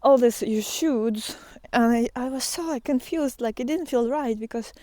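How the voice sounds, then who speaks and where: A woman giving an unscripted, reflective spiritual monologue, thinking aloud with pauses and earnestly repeating key phrases. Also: on the high side, mellow, no echo. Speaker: spiritual teacher and artist.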